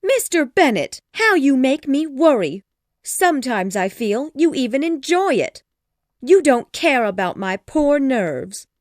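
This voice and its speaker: Storybook role, played by a woman: nagging middle-aged mother, flustered and dramatic, voice swooping high, recorded dry. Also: big pitch swings, unhurried, no echo. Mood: indignant, fretful. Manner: exclamatory, impatient, dramatic. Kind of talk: storybook dialogue acting.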